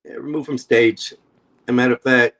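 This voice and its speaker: A man's voice: medium pitch, steady tone, fairly quick, a bit bright, low-quality recording. A man in his forties hosting a live-stream panel, talking bluntly and confrontationally and swearing freely. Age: 40s. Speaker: stream host.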